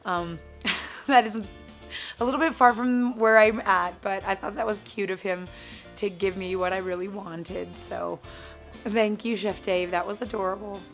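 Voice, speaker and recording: A woman in her thirties talks casually to her viewers, her voice gravelly and froggy as if she has laryngitis. She is upbeat and a little sappy, quick to laugh. Faint music plays underneath. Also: mid-range voice, steady tone. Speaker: weight-loss vlogger. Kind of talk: casual chat to camera.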